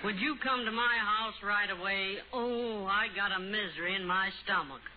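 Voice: mid-range voice, normal speed, close to an everyday voice. A performer playing a folksy, complaining rural housewife with a plaintive, droll manner in an old radio comedy. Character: folksy, complaining rural woman with stomach misery. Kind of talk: 1940s-50s radio comedy acting. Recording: tinny, noisy old radio phone scene.

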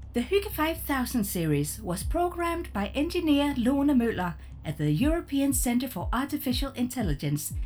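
A woman's voice: fairly high pitch, darkish tone. A woman playing a cheerful, chatty spaceship AI in an audio drama, polite and formal. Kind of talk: scripted audio drama acting.

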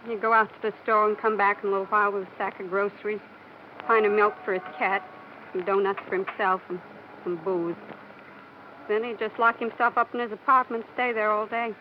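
An actress playing a shaken, plain-spoken working-class elevator operator who talks in slangy, halting phrases in a 1950s radio drama. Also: female voice, medium pitch, level tone, lightly acted. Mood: subdued, reflective. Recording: muffled, noisy 1950s radio recording.

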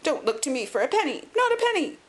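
Miserly old nobleman played by a female narrator, high and crackly, querulous, indignant, swooping storybook delivery. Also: very animated. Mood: indignant, stingy. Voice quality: sharp crackle. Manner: quick, intense, indignant. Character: querulous, miserly little old lord, sharp and twitchy. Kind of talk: audiobook character acting.

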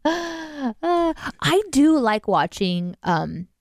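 A woman's voice: high voice, sing-song, very slow, dry recording. A woman co-hosting a live stream in casual, giggly chat. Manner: chatty, giggly.

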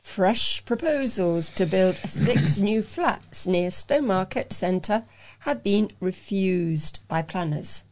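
A woman's voice: mid-range voice, animated, slow, a little mellow. A woman reading local news aloud as a volunteer reader, carefully, with occasional stumbles over words. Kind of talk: read-aloud local news for a talking newspaper.

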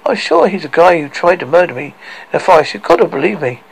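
A man's voice: sits high, very animated, fast talker, creaky, husky, voice pushed up, exaggerated voice, rough recording. A man voicing an indignant young princess in a fairy tale, protesting and exclaiming.

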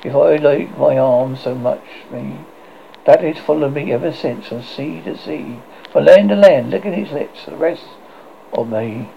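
A man's voice: medium pitch, measured pace, vocal fry, slight background noise. A man voicing a vain, menacing pirate captain in an audiobook reading, with a dramatic, growling delivery. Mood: brooding. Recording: dull lo-fi recording.

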